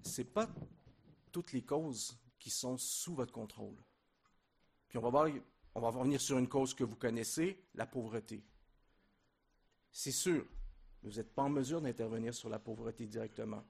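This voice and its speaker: A middle-aged man with a Québécois accent giving a conference lecture, relaxed and joking, with many fillers and restarts. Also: medium pitch, moderate pace, creaky, long pauses, breathy edge. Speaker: invited lecturer at a training conference.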